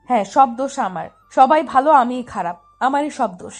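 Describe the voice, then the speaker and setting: Casting: woman to play stubborn, lovestruck little sister, high voice, petulant and defiant, romance audio story. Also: lively intonation, moderate pace, soft background music. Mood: hurt, sulking. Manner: pouting, defiant.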